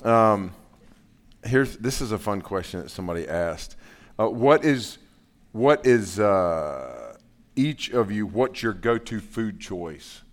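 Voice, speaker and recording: A man, casually hosting a couples panel at a live event, relaxed and chatty. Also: lowish voice, slow. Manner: relaxed, chatty, laughs often.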